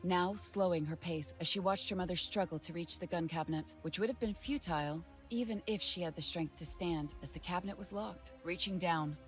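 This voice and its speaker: Female horror narrator, lower voice, steady and even, soft music bed. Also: fairly quick, hardly any pauses.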